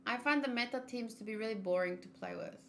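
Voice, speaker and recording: A woman's voice: fairly high pitch, fast talker, vocal fry, a bit bright, a little hiss or hum. A woman chatting informally on a gaming livestream, reacting aloud as she talks. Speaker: streamer. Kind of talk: casual livestream chat while gaming.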